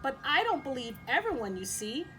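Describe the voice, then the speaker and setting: A woman's voice: high voice, very animated, moderate pace, noisy background. A woman voicing a kindly old female spider in a storybook, patient and reassuring, with a singsong manner. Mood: confiding, firm.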